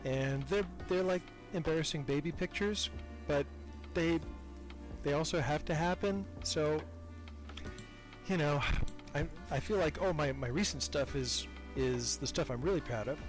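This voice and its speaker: Higher-voiced man chatting thoughtfully over music. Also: a little slow. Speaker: podcast creator, interview guest. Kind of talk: casual reflective interview chat.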